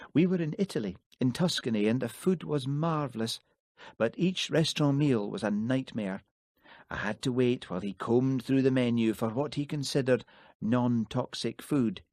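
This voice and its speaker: A man's voice: medium pitch, darkish tone, no room sound, clean studio sound, voice pushed up, natural voice. A man voicing a frank, teasing young woman reporter in an audiobook reading.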